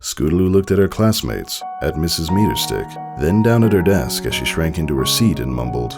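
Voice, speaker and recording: A man reading a scripted story aloud as an expressive narrator. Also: a bass voice, brisk, over music. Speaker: fan fiction reader.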